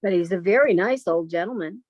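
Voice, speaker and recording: A woman's voice: on the low side, a little fast, no echo, highs cut off, lightly acted. A woman playing a timid, yielding younger spinster sister in a radio drama, hesitant and apologetic. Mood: timid pleading. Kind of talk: old time radio drama acting.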